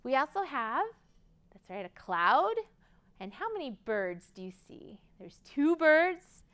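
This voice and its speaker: A woman's voice: high-pitched, big pitch swings, takes it slowly, a bit bright. An American woman in her thirties leading a children's storytime in a sing-song, exaggerated way for little kids. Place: library room, indoors. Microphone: lapel.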